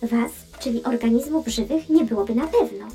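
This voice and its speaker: A woman's voice: fairly high pitch, normal speed, warm tone, lightly acted. A woman voicing an intelligent, friendly female space probe, explaining simply in a scripted children's science lesson. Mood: instructive. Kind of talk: scripted educational narration. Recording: music playing behind the voice.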